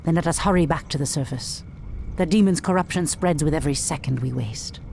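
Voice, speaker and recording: A proud elven high priestess with a very low female voice. She speaks formally and with firm resolve, commanding as a leader in a fantasy game cutscene. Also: a little fast, gravelly, a little mellow, a little hiss or hum. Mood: resolute. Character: proud, determined night elf high priestess, ancient and commanding. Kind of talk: video game cutscene acting.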